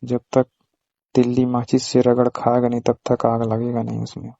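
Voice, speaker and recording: Actor as flirty delivery man, flat mellow voice. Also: mid-range voice, normal speed, gravelly, no room sound, lightly acted. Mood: suggestive. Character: flirtatious male pizza delivery man, coyly suggestive. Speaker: actor in staged prank-style phone call skit. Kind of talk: casual phone conversation skit. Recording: muffled lo-fi phone call recording.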